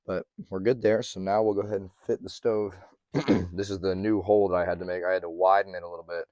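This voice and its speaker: Guy for a diy van vlog, very low, a bit hoarse, dry close sound. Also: fast talker, no room sound. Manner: relaxed, chatty, many ums and throat clearing. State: slightly hoarse, losing his voice. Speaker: van life vlogger. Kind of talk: casual how-to narration to camera.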